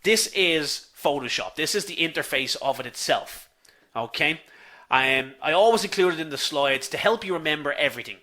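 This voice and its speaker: A man with a Dublin accent runs a live online lesson, speaking in a slightly raised, bright, forward voice. He is chatty and easygoing, addressing his viewers as folks and answering their comments as they come in. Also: a little high, lively intonation, normal speed. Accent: Dublin Irish. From Ireland. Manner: chatty, says "folks" often, reads viewer comments aloud. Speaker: online instructor.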